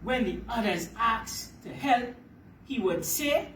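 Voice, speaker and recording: A woman tells a children's story in a high, swooping voice. She is emphatic and takes her time, repeating phrases for her listeners. The recording is roomy and a little distant, with faint noise behind. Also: expressive, slow pace. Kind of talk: animated children's storybook reading.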